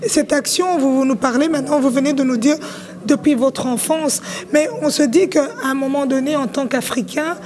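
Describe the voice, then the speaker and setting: A woman hosts an on-location interview for web television. Her voice sits high, and she speaks as a presenter introducing a guest and putting questions to them. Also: steady tone, normal speed, darkish tone. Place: film festival in Brussels.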